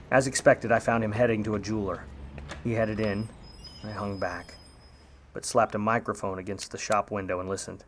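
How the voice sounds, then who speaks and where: A man's voice: on the low side, close to an everyday voice. A man playing a wry 1950s private eye who talks and narrates in slangy old radio noir style. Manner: wry, slangy, hard-boiled. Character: grudging wisecracking 1950s male private eye, hard-boiled narrator. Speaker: lead actor and narrator in a radio play.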